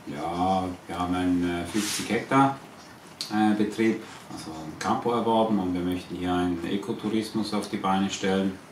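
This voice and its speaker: A man from Switzerland with a Swiss accent, answering informal interview questions in a halting way, searching for words. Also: low-pitched. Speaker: interviewee, eco-farm owner. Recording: echoey, distant, noisy ambient recording.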